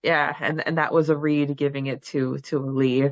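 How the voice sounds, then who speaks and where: A woman's voice: low voice, little pitch movement, normal speed, dry recording, dull audio. A woman co-hosting a podcast, chatting casually and conversationally about a book, with a giggly manner. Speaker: podcast co-host. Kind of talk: casual book discussion chat.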